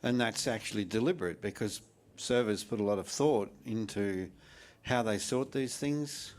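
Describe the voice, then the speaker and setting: A man in the audience who calmly explains the reasoning behind a standard, in a measured way. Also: lowish voice.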